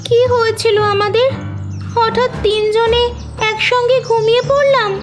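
A woman's voice: very high-pitched, fairly even intonation, measured pace, music in the background. A woman voicing an earnest, kind-hearted little child in a children's cartoon. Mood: worried.

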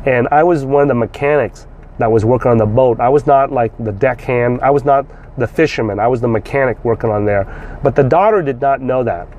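A man's voice: mid-range voice, brisk, lo-fi sound. Man, forties, blunt and profane, repeats himself, parked car, lapel mic, faint street noise. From South Vietnam. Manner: blunt, swears freely, animated hand gestures; repeats words and restarts often. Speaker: vlogger and trader. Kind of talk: casual talk to camera. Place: inside a parked car, outdoors. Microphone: phone or camera at arm's length with a lapel mic.

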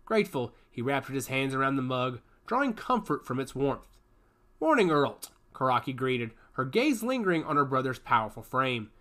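Bright, lively male story narrator. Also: medium pitch, moderate pace.

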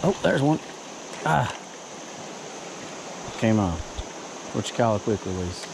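A man's voice: lowish voice, big pitch swings, creaky. A man filming himself while wading a river, talking casually about his fishing and muttering to himself between casts. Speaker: fishing vlogger. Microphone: body-worn camera. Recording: outdoor camera audio with river noise. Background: rushing river water.

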